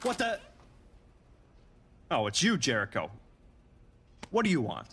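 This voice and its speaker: A man's voice: mid-range voice, wildly swooping pitch, a little slow, a bit bright, breathy edge, exaggerated voice. A man playing a brash, impatient wrestling boss in a video game, with an emphatic, condescending way of talking. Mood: startled, annoyed. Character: brash, impatient male wrestling boss throwing his authority around. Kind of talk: video game story-mode voice acting.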